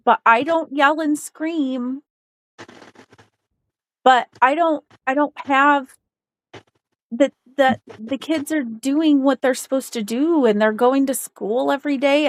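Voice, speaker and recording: A woman on a tense phone call, calm and hesitant, asking probing questions. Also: high-pitched, unhurried. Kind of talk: tense personal conversation.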